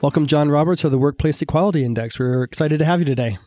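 A male co-host welcomes the guest and asks friendly questions at a quick clip. His tone is mellow and warm, and the recording is dry and close. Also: a little high, dry recording, soft background music. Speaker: podcast co-host. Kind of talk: interview questions.

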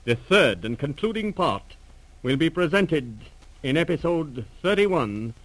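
A man's voice: on the high side, very animated, a little slow, a bit bright, no room sound, rough recording. A man reading a formal, scripted announcement as the announcer of an old-time radio serial.

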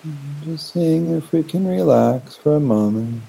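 A man leading a guided meditation in a gentle, unhurried manner with long pauses. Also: a little high, lively intonation, creaky, husky, rough recording. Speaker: meditation teacher.

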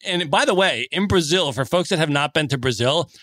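A man's voice: fairly high pitch, animated, fairly quick, fairly bright tone, no room sound. A man hosting a podcast who chats casually and tells jokey, enthusiastic travel anecdotes. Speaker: podcast host. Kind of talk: casual interview chat.

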